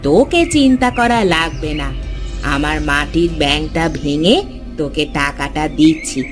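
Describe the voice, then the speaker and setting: A woman voicing a weary, indulgent older mother in a cartoon, gently scolding her son. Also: low voice, moderate pace, music in the background, lightly acted. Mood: placating.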